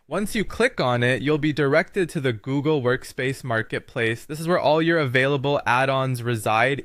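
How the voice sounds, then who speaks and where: A man with a mid-range voice narrates a scripted how-to guide. He delivers it in an instructional manner, laying out each step in a clear, orderly structure. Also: medium pitch, a bit bright, no room sound. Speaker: tutorial narrator.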